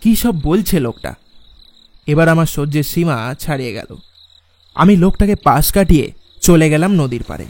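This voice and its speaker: A man playing an anxious traveller who tells a horror story in the first person, dramatic and expressive. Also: on the high side, normal speed, long pauses, lightly acted. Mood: reflective, tense. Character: anxious male traveller recounting his night crossing.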